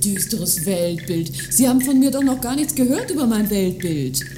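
An actress playing an earnest, scornful political activist in a radio play, with dry, sarcastic delivery. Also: female voice, medium pitch, lively intonation, moderate pace, background noise, lightly acted. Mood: incredulous. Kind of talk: scripted radio play dialogue. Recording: music behind the dialogue.

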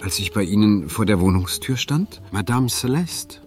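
Radio play: actor for a bashful, formal male client, low-pitched, halting and easily flustered. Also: lively intonation, soft background music, close to an everyday voice. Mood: hesitant. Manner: hesitant, formal. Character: shy, flustered male client, polite and awkward. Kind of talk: audio drama dialogue.

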